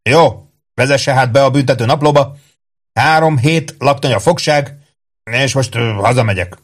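A man's voice: mid-range voice, natural voice. A man voicing a hot-tempered older army captain in an audiobook, with an indignant, emphatic, huffing manner. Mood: grudging.

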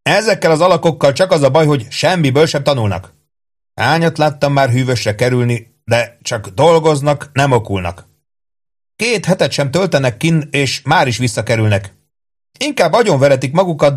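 A man's voice: lowish voice, a little mellow, natural voice. A man voicing a scheming army first sergeant in an audiobook, speaking emphatically and with calculated earnestness.

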